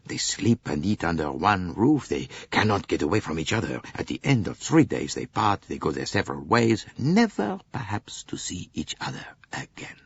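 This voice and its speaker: An actor playing a philosophical, expansive continental gentleman with a theatrical, sighing manner in an audiobook. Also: low voice, very animated, moderate pace, gravelly, few pauses, breathy edge, dull audio, heavily acted. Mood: wistful, philosophical.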